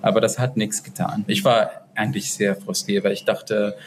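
A man from Israel answering interview questions in halting speech, often searching for words and restarting sentences. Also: on the low side, flat delivery, a little hiss or hum. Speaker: dialogue group organizer.

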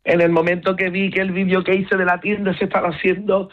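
A woman's voice: on the low side, flat delivery, fairly quick. A middle-aged woman from Gibraltar with a Gibraltarian Llanito accent, chatting in an interview and switching between Spanish and English mid-sentence. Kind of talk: casual conversational interview. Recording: tinny phone-like line.

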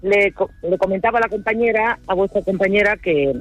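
A woman's voice: mid-range voice, a little fast, dry recording, highs cut off, soft background music. A woman calling a radio phone-in, telling a casual anecdote in a chatty, self-mocking way.

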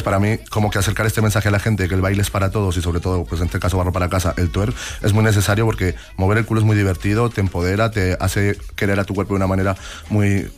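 Man, late twenties, low-ish voice, very fast conversational explainer, interviewee. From Colombia. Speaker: interview guest, twerk dance teacher and academy owner.

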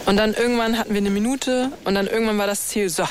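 A woman's voice: mid-range voice, fairly even intonation, quick pace, background noise. A woman with pronunciation, talking casually and energetically as a personal trainer telling a story.

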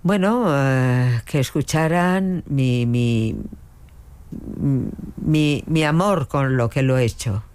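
A woman's voice: extremely low pitch, slow, darkish tone, smooth. An older woman, a veteran dubbing actress with a strong voice, answering interview questions with frequent hesitations and restarts. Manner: hesitant, many fillers and restarts.

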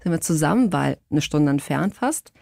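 A woman's voice: low-pitched, moderate pace, gravelly, dry recording. A woman from Portugal chatting casually as an interview guest, acting out quotes as she tells stories into a close studio microphone. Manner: animated storytelling with acted-out quotes. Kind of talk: casual anecdotal chat. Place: radio studio.

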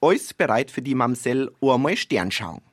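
A man's voice: medium pitch, expressive, normal speed, dry recording, clean studio sound, close to an everyday voice. A man voicing an eager young stargazer in his own voice, with an explaining manner.

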